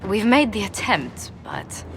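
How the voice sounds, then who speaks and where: A diplomatic advisor at a fantasy court in a video game. She speaks in a mid-pitched, formal, assured voice over faint music. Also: huge pitch swings, slow, creaky, fairly bright tone, heavily acted. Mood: confident. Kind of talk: video game cutscene briefing.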